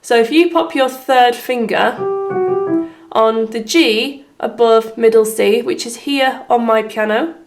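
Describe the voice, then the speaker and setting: A woman with a slightly hoarse voice giving step-by-step piano instruction as the host of a tutorial. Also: fairly high pitch, slow pace. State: slightly hoarse from having been unwell. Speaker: piano teacher and video host. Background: piano playing.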